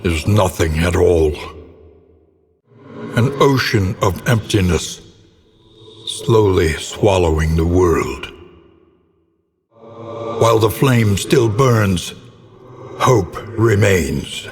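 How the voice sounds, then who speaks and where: An actor playing the grave, brooding narrator of a gothic horror game, speaking in a solemn, portentous, theatrical manner. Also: male voice, deep voice, expressive, extremely slow, pauses often, full character voice. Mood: brooding, ominous. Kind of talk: scripted dramatic narration. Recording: long cavernous reverb.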